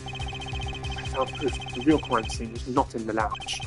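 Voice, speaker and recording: A man streaming a game, reading the hero's lines in a measured, formal, composed way. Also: mid-range voice, steady tone. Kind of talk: dramatic reading of game dialogue. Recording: game music behind voice.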